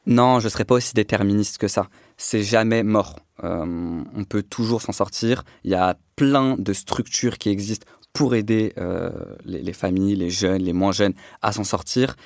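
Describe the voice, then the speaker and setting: A man's voice: on the low side, normal speed, vocal fry, few pauses, no echo, clean studio sound. A man whose first language is not French, a public speaking trainer explaining his work earnestly as a podcast guest, with many fillers and restarts. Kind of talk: podcast discussion.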